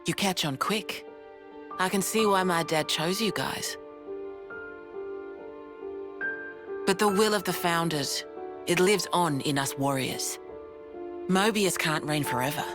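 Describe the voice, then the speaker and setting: An actress playing a frank, plain-spoken young resistance warrior in a dramatic video game cutscene. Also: female voice, lowish voice, a little slow, music in the background, natural voice. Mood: frank, resolute. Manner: plain-spoken, colloquial, explanatory.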